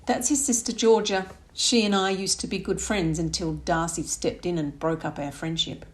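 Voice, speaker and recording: A woman voicing a smooth, self-pitying young man in an audiobook, indignant and self-justifying. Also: on the low side, steady tone, moderate pace, lightly acted. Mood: aggrieved.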